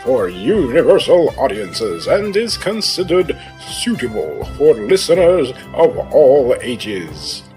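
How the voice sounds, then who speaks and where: A man's voice: medium pitch, very animated, takes it slowly, few pauses, dark tone, faint music behind. A man reading a scripted, dramatic announcement as the announcer of an audio drama.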